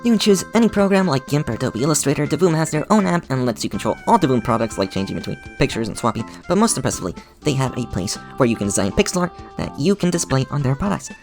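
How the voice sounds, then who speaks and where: An enthusiastic man narrates a scripted tutorial in a playful way. His delivery swings up and down with plenty of life, and his voice carries a gravelly fry. Soft music plays quietly underneath. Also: medium pitch, big pitch swings, fast talker, hardly any pauses. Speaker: channel host.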